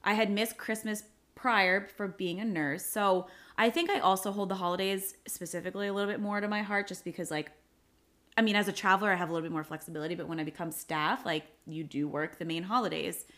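A woman's voice: mid-range voice, steady tone, fast, a bit bright. A woman in her late twenties hosting a casual podcast chat, talking loosely with lots of "like" fillers. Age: 20s. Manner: chatty, many "like" fillers. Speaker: podcast host.

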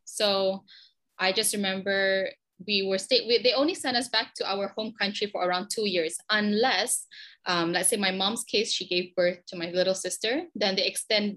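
A young Malaysian woman in her twenties, answering as a podcast guest in a chatty, easygoing way. Her voice is bright and clear, at an ordinary pitch, and she often stops to restart a sentence. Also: moderate pace. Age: 20s. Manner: chatty and lively, with frequent ums and restarts. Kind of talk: conversational interview answers.

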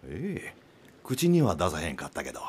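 An actor playing a considerate, peacemaking man at a seaside inn in a radio drama, with a husky voice. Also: sits low, wildly swooping pitch, moderate pace, full character voice. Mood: gentle, weary.

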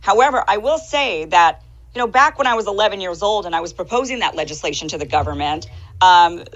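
A woman's voice: on the low side, lively intonation, a little fast, fairly bright tone. Emphatic woman in her forties, slightly muffled audio. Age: 40s. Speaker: panelist on a political talk show. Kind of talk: political discussion. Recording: slightly dull, band-limited audio.

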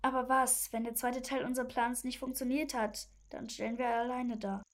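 A woman's voice: a little high, little pitch movement, moderate pace, lightly acted. A female performer playing a determined, conspiratorial schoolgirl detective in a children's audio drama. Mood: worried. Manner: earnest, conspiratorial.

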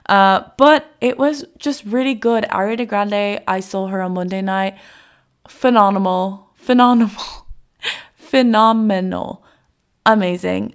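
A young woman hosting her podcast in a loose, chatty way with lots of fillers, a little congested and nasal from a cold. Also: mid-range voice. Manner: chatty, rambling with many fillers. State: congested, a bit sick. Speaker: podcast host. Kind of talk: casual solo podcast chat.

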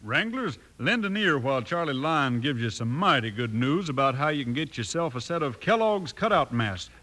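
A man's voice: mid-range voice, very animated, normal speed, music in the background. An American man announcing in a scripted, folksy 1950s cowboy radio style.

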